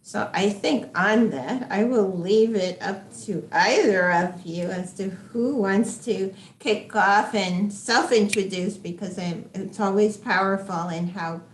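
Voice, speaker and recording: A woman moderating an academic panel, speaking haltingly and often restarting her sentences. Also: medium pitch, slight background noise. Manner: hesitant, many fillers and restarts. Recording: echoey room sound.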